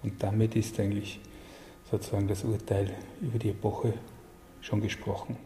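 Guy answering interview questions, low mellow voice, halting and deliberate, echoey. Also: level tone. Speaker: interviewed official and literary advisor. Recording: echoey hall, faint background noise.

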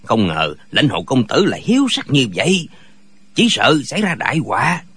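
A man plays an old wuxia companion with a wry turn. His voice is husky and lively in pitch, close and dry as audiobook dialogue. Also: moderate pace, no echo, full character voice. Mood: worried. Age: older. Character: old martial-arts companion, wry, addresses friend as elder brother.

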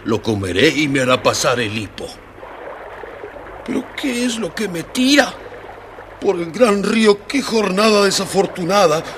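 An actor voicing a big, dim-witted fish who complains and gulps with hiccups in a children's audio storybook. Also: male voice, high-pitched, sing-song, unhurried, fairly bright tone, a little hiss or hum, exaggerated voice. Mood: despairing. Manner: complaining, gulping hiccups between phrases.

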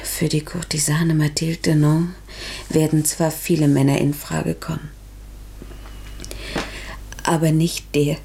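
An actress in a 1970s German radio play playing a sorrowful, dignified courtesan who speaks formally and solemnly, sometimes near a whisper. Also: female voice, deep voice, flat delivery, slow, creaky, pauses often, dark tone, a little hiss or hum, heavily acted. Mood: sorrowful, resigned. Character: sorrowful, dignified courtesan confessing to her noble lover.

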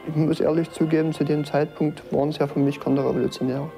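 A man reflects in measured phrases, as in an interview. His voice is slightly high yet gravelly and dark, with faint music underneath. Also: little pitch movement. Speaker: former state security insider giving testimony.

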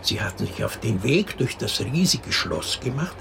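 An actor in a radio drama playing a storyteller who patiently tells a child a princess fairy tale, expressive and explanatory. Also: medium pitch, moderate pace, vocal fry, heavily acted. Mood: patient storytelling. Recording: noticeable background noise.

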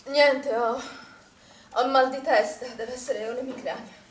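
An actress playing a tense, bossy woman in charge at a swimming pool, brisk and insistent in a stage drama. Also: high-pitched, steady tone. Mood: irritable, weary. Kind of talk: stage-style Italian drama acting. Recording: echoey, distant stage recording with faint noise.

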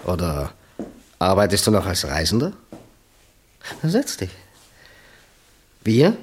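An actor playing a chummy, boastful small-time gangster boss in a radio crime drama, talkative and jovial. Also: male voice, low-pitched, huge pitch swings, takes it slowly, breathy edge, exaggerated voice. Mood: falsely jovial.

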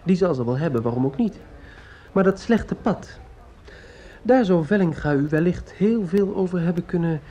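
An actor playing an earnest, bitter young student in a Dutch radio drama, speaking formally and pointedly. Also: male voice, high-pitched, lively intonation, moderate pace, warm tone. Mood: stoic, wounded. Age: young adult. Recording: dull, muffled old radio recording.

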